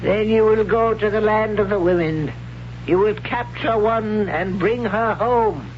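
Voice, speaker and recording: An actor playing a stern, devout older high priest and father in a solemn, declamatory 1970s radio drama style. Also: measured pace. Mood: commanding. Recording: dull, noisy 1970s radio recording.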